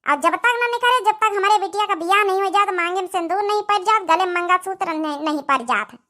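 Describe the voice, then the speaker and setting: A woman voicing a sly, threatening middle-aged stepmother in an Awadhi comedy cartoon, bossy and forceful, with an Awadhi accent. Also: extremely high pitch, fast.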